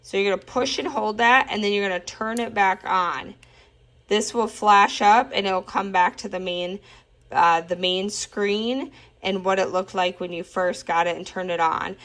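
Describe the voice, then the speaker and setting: A woman with an average-pitched voice talks through a product in a relaxed, unscripted way. She sounds informal and chatty, with clear enthusiasm as she explains and demonstrates. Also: unhurried. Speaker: product reviewer.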